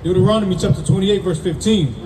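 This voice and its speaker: Male scripture reader, high pitch, declamatory, distant, crowd noise behind. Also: fast, reverberant. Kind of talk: reading Bible verses aloud.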